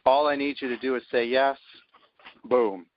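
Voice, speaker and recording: A man's voice: medium pitch, steady tone, moderate pace, no room sound. A man speaking as a sales team leader on a conference call, confident and emphatic, punching his points. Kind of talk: motivational sales pitch on a call. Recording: dull lo-fi conference phone line.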